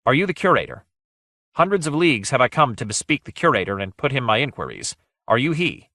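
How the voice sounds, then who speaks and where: A man's voice: mid-range voice, big pitch swings, fast, sharp tone, dry recording, clean studio sound, pitched above the performer's own voice, full character voice. A man acting a bold, defiant male adventurer in a fantasy story, speaking in a proud, formal, archaic and declamatory way. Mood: questioning, wary.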